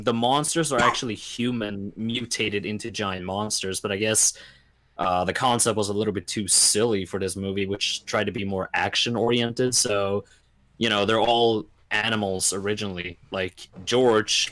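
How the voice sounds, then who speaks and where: A man with a somewhat low voice talks as a podcast guest. His delivery is informal and conversational, like a chat among friends. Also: steady tone, normal speed, a bit bright. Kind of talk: casual chat.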